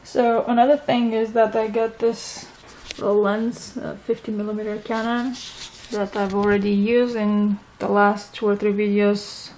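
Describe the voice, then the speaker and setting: A young woman with a Romanian accent, a native Romanian speaker, chatting casually in a home vlog and often pausing to search for words. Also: medium pitch, level tone, a little mellow, slight background noise, poor audio. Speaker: vlogger.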